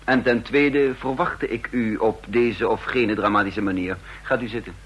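A man's voice: on the low side, fairly even intonation, moderate pace, a bit bright, breathy edge, muffled recording. An actor playing a shrewd male counterintelligence chief who also narrates the radio drama, speaking with dry, self-assured irony. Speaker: narrator and lead character. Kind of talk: vintage radio drama acting.

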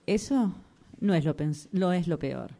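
Woman as a slow, gloomy tramp. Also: fairly low pitch, lively intonation, creaky, warm tone. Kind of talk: amateur radio theatre workshop reading of an absurdist play.

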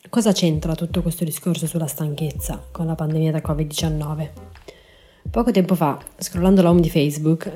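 A woman's voice: low-pitched, warm tone. A woman narrating a scripted podcast as host, in an informal, explanatory manner. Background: faint background music.

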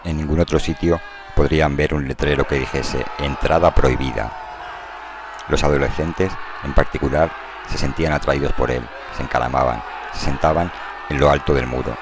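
A man narrating a dramatized novel in a measured, literary storytelling style. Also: very deep voice, fairly even intonation, a crowd in the background, noisy background. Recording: prominent music under the voice.

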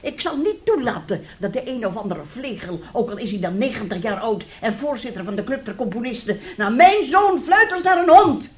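A woman's voice: fairly high pitch, animated, fairly quick, hardly any pauses, natural voice. A woman acting in a radio drama as a bitter, domineering, self-pitying mother, scolding melodramatically. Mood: indignant, self-pitying.

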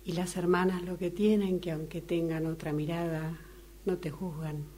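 A woman's voice: fairly low pitch, little pitch movement, moderate pace, warm tone. A woman chatting casually face to face in a workshop exercise. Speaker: radio drama workshop participant. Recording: humming, faintly noisy recording.

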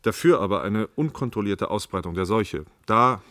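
A man's voice: on the low side, lively intonation, moderate pace, pushed and bright, no echo, clean studio sound. A man playing an officious, stubborn government official, formal and pedantic, in a German radio play. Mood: measured, justifying.

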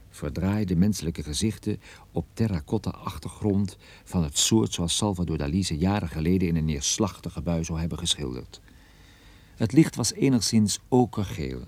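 An actor playing a wry, sardonic male private detective, narrating his own case in a measured radio drama style. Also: sits low, gravelly, dark tone, no echo, exaggerated voice.

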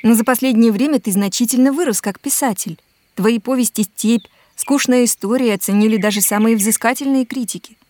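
Casting: actress for concerned, sensible woman, slightly high voice, pleading and mildly reproachful; audio drama. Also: fairly quick, lightly acted. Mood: earnest, dissuading. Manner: earnest, gently reproachful. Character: caring, sensible woman pleading with a restless man.

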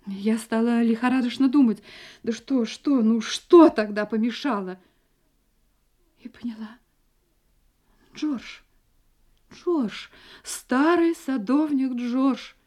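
An actress playing a frightened wife in a Russian audio drama, speaking in an agitated, halting, dramatic way. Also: female voice, on the high side, mellow. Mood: anxious, confessing. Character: frightened young wife recounting her escape from a murderous husband.

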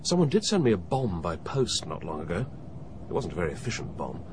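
A genial, self-deprecating banker of middle age in a radio drama. He talks quickly in a medium-pitched voice with very wide, animated inflection. His manner is understated and unruffled, with slight ambient noise behind him. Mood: breezy, amused. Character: unruffled, genial middle-aged male banker of high standing. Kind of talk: BBC radio drama acting.

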